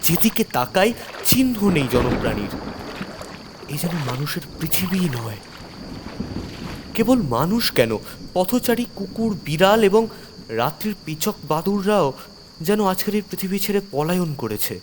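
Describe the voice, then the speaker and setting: A man's voice: high-pitched, very animated, moderate pace, long pauses, background noise. A man narrating a horror story in the first person as a doctor, theatrical and suspenseful.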